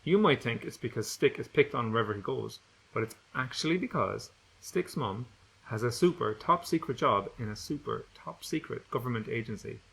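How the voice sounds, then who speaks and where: A man's voice: mid-range voice, vocal fry, fairly bright tone, breathy edge, low-quality recording. A man narrating a funny children's book in a playful, jokey way. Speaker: audiobook narrator, author.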